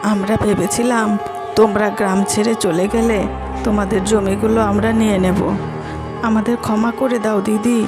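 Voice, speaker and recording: A woman's voice: medium pitch, flat delivery, normal speed, over music, lightly acted. A woman playing a greedy, cowardly village wife in a Bengali cartoon, with an exaggerated, whining delivery. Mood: pleading. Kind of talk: Bengali cartoon drama acting.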